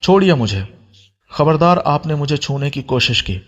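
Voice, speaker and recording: A man's voice: on the high side, animated, moderate pace, dull audio. A man voicing a hurt, defiant young woman in a romance audio story, speaking with indignation. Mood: indignant.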